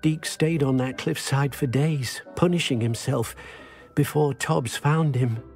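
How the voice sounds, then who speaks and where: A man's voice: mid-range voice, unhurried, darkish tone, faint music behind, natural voice. An actor voicing a meek, guilt-ridden male house-elf in a video game, who speaks of himself in the third person. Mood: remorseful.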